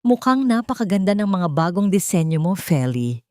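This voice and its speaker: A woman narrator voicing a warm, admiring man, the devoted love interest in a romantic story. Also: medium pitch, very animated, normal speed, no echo, clean studio sound, natural voice. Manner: tender, admiring.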